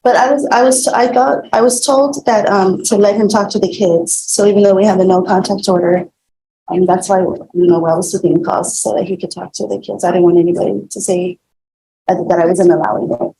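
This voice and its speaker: A woman testifying as a witness in a courtroom, answering in a hesitant, halting way with many fillers. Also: mid-range voice, steady tone, gravelly, dark tone. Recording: echoey courtroom with faint room noise.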